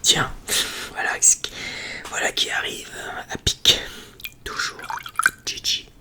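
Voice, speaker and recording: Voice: slow pace, sharp tone. An ASMR host chatting casually in a whisper, rambling along in informal, slangy speech close to the microphone.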